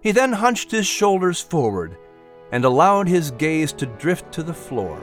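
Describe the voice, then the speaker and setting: A man hosting and narrating a story podcast in an expressive, theatrical style. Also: fairly high pitch, unhurried, soft background music. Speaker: podcast host and audiobook narrator. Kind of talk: dramatic narration and lighthearted scripted hosting.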